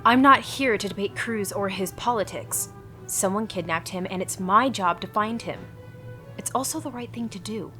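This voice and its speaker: A woman's voice: mid-range voice, normal speed, bright, forceful tone, soft background music, close to an everyday voice. A woman playing an earnest, wry superheroine in a radio play, with a determined manner. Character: earnest, wry young superheroine, bulletproof and dutiful.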